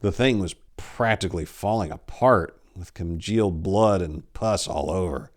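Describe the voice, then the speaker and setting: A man in his forties giving a theatrical, expressive dramatic reading of horror fiction. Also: deep voice, measured pace. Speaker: storyteller. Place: small indoor room lined with bookshelves. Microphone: lapel.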